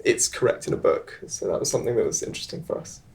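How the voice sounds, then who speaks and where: A young man, a filmmaker guest, chatting at a live panel in a halting way, with many ums and restarts. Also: a little mellow. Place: live event on stage.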